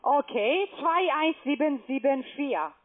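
A woman on a phone call posing as a bank agent, speaking slowly in halting, broken sentences and repeating numbers back. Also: high voice, lively intonation. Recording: tinny phone line.

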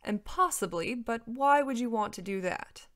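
Woman playing storybook dragon, composed, dry, practical, quietly authoritative, measured, matter-of-fact. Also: a little high, animated, voice pushed up. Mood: dry, decisive.

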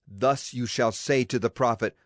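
A man's voice: mid-range voice, moderate pace, no echo, studio quality. A man gives a solemn, declamatory dramatized reading of scripture as a Bible narrator. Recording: clear music behind the voice. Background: music.

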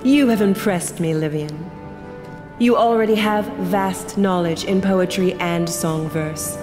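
Gracious lady keeper of records, played by a woman: measured, formal, kindly, long hall reverb with music behind. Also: on the low side, lightly acted. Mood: approving, measured. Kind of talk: fantasy audio drama acting.

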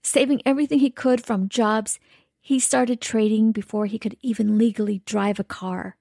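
Lady reading the show intro, mellow tone, dead dry studio mic. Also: fairly high pitch, fairly even intonation, moderate pace, vocal fry, dry recording. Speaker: podcast co-host. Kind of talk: scripted podcast intro read.

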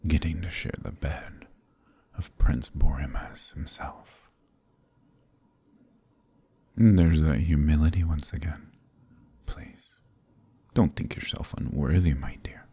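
A man voicing a suave, possessive demon prince in an ASMR roleplay, speaking in a hushed, purring near-whisper. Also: heavily acted. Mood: seductive. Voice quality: deep gravelly voice.

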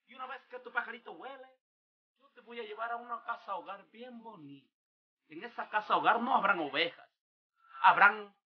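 A performer voicing a swindling male preacher, delivering a grandiose, booming mock sermon on a dull lo-fi recording. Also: lightly acted. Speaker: comic character pastor.

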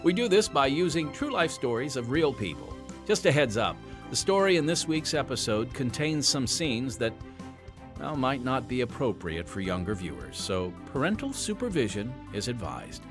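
A man's voice: mid-range voice, very animated, normal speed, over music. A man hosting and narrating an audio drama podcast in a scripted, dramatic but conversational style.

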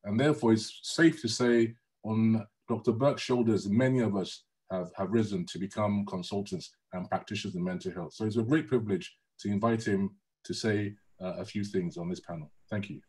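A middle-aged man whose first language is Yoruba, formally introducing a panelist over a video call, measured and respectful with many pauses. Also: fairly low pitch, fairly even intonation, a little mellow, rough recording. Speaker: panel moderator. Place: room at home, indoors.

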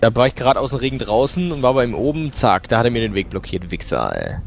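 A young man playing a video game with a friend, chatting casually and swinging between mumbling and excited yelling. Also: medium pitch, fast talker, gravelly, music in the background. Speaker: let's play host. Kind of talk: casual co-op gaming chat.

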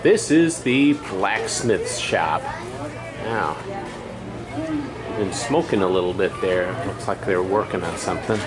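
A man in his forties hosting a casual travel vlog, slowly pointing out exhibits on a tour of an old fort. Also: low-pitched, expressive, crowd noise. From California. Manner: slow, easygoing, pointing out exhibits. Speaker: vlog host. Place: outdoors at a historic fort and inside its rooms.

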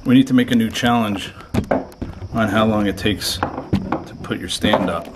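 Hushed middle-aged man on a night ghost hunt. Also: on the low side, measured pace, heavy background noise. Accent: Floridian American. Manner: hushed, jittery, talking to viewers while exploring. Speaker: paranormal explorer vlogger. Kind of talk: solo ghost-hunting vlog. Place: abandoned wooden shed at night, indoors.

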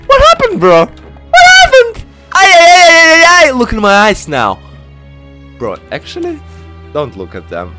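Excitable gamer guy doing commentary, high voice all over the place, stammers a lot. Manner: exclamatory, stammering, slangy. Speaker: gaming video host.

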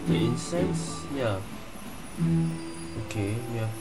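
Low, unhurried woman gamer thinking aloud. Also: sing-song, rough recording. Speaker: game streamer, player. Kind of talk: casual playthrough commentary. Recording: loud game music behind voice. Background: guitar game music.

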